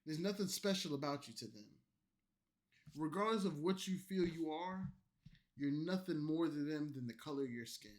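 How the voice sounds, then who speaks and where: A man streaming late at night, tipsy from alcohol and an energy drink, delivering a loud, profane, rambling rant at his chat. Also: fairly high pitch, lively intonation, normal speed, poor audio. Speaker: streamer reacting to clips.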